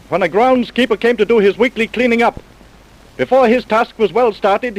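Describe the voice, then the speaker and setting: A man's voice: extremely high pitch, low-quality recording. A man narrating a vintage radio crime drama in a grave, dramatic, scripted style.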